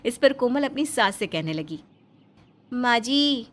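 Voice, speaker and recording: Female storyteller: fairly high voice, scripted narration of a domestic tale. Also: lively intonation, moderate pace.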